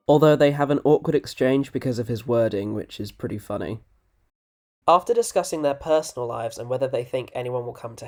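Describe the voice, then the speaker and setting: A man's voice: mid-range voice, darkish tone. Male video essay narrator, informal and opinionated, scripted delivery with wry casual asides.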